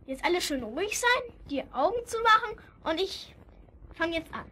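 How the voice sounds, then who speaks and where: An actress voicing an eager young child who runs a guessing game. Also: female voice, very high voice, sing-song, moderate pace, bright, forceful tone, heavily acted. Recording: hissy vinyl rip.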